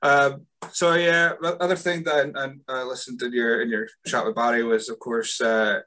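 A chatty Scottish man from the northeast hosts a casual podcast, his voice bright and forward. He laughs a lot and trips over his words, restarting sentences as he goes. His pitch sits in an ordinary middle range for a man. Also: flat delivery, moderate pace. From Scotland. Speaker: podcast host. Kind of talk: casual chat.

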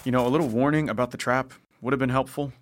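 An actor playing a gruff, impatient adult man who speaks bluntly in an audio drama. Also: medium pitch, fairly even intonation, fast talker, natural voice.

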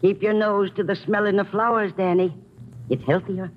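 A woman's voice: mid-range voice, steady tone, moderate pace, lightly acted. A woman playing an eccentric old street flower seller in a radio drama, theatrical, coaxing and saucy. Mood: sly warning. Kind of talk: 1950s radio drama acting. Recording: muffled old radio recording, faint noise.

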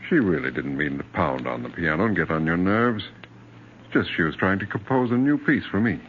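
A man's voice: deep voice, animated, brisk. An actor playing a patient, doting father and soothing husband in an old radio drama. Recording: dull vintage radio recording, faint noise.

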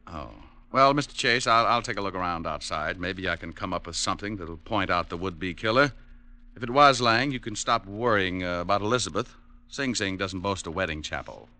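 An American actor playing a wry, tough private detective in a 1950s radio drama, with laconic hardboiled narration. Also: male voice, low voice, animated, a little fast, sharp tone. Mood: wry, probing. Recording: vintage radio drama recording.

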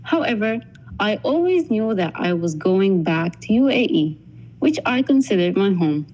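A young woman from Sudan appears as a podcast guest. Her voice is warm and mellow at a mid pitch, and she reads prepared lines in a reflective manner. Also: big pitch swings, moderate pace, low-quality recording. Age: young adult. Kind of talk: scripted podcast conversation.

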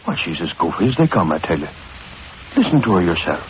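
A man's voice: deep voice, big pitch swings, quick pace, a little hiss or hum. An actor playing a bewildered, folksy policeman in an old-time radio drama, talking colloquially and emphatically. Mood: baffled. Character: folksy, baffled policeman reporting to his captain. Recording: muffled vintage radio with voices behind.

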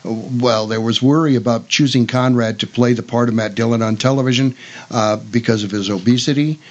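An older man hosting a radio show in casual talk, often halting and repeating his words. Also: mid-range voice, steady tone, dull audio. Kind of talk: casual talk about old-time radio.

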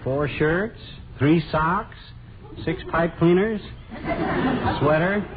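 An actor playing a good-natured but easily exasperated husband in a vintage radio comedy. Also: male voice, fairly high pitch, takes it slowly, a crowd in the background. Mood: amused, chatty. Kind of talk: 1950s radio comedy acting. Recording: tinny, very noisy old radio, loud audience.

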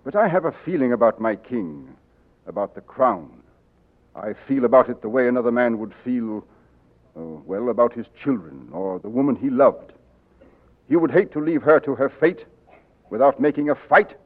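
An actor in a 1940s radio drama playing a stern, loyal army colonel who speaks in a grave, urgent, clipped way. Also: male voice, mid-range voice, normal speed, natural voice. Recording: tinny old radio broadcast.

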